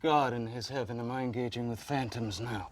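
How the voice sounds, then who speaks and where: A man's voice: medium pitch, fairly even intonation, soft background music, close to an everyday voice. An actor playing an imprisoned, haunted murderer who recites his self-justifying memoir in formal, bookish language on a theatre stage. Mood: alarmed. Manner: formal, bookish, measured recitation breaking into alarm. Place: theatre stage before an audience.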